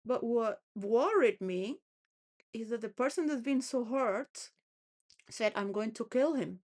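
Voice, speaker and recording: A woman's voice: fairly high pitch, lively intonation, unhurried, darkish tone. A woman rambling through a personal monologue, full of restarts and wandering thoughts, sometimes laughing between phrases. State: homeless.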